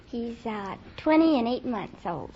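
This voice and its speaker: A woman's voice: on the high side, expressive, a little slow. A young woman from New Orleans chatting earnestly and in detail as a contestant on a 1940s radio quiz. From New Orleans, Louisiana. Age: young adult. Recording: old 1940s radio, dull, noisy, audience.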